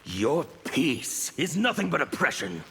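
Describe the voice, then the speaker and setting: An actor playing a mocking, fanatical anarchist villain in a fighting game, taunting and defiant. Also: male voice, fairly high pitch, big pitch swings, unhurried, gravelly, a bit bright, slight background noise, exaggerated voice. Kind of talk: scripted fighting game dialogue.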